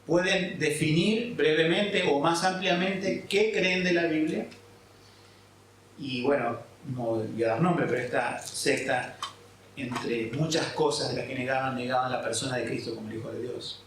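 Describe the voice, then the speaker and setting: A man's voice: medium pitch, measured pace, a bit bright, slight background noise. A man from Argentina, a pastor preaching a sermon and explaining scripture, often checking in with his listeners. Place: church hall. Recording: echoey hall, mic at a distance.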